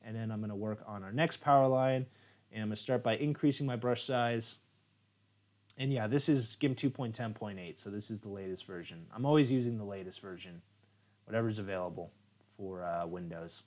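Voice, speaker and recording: A man's voice: lowish voice, brisk, darkish tone. An American man hosting a live photo editing tutorial stream, talking casually and chatty with plenty of fillers while he works. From United States. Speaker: software tutorial host.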